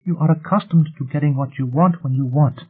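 A man voicing a soft-spoken, formal young woman who speaks precisely and with quiet defiance. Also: on the high side, fairly quick, slightly rough, pitched above the performer's own voice. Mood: earnest, reproachful. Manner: formal, precise, uncontracted phrasing. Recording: muffled phone-like narrow sound.

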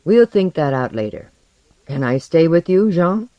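A narrator playing an educated modern man in an audiobook tale. He is modest and anxious, speaking plainly but emotionally, with pitch that moves a great deal. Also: lively intonation, measured pace, no room sound, lo-fi sound, lightly acted. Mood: sheepish, earnest.

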